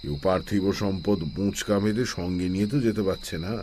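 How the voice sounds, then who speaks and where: An actor playing a wise, calm, shrewd village holy man in an audio drama, speaking in a measured, philosophical way. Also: low voice, slight background noise, lightly acted. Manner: measured, philosophical, chanting Sanskrit verses slowly. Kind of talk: Bengali audio-drama acting. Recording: steady night insect ambience behind.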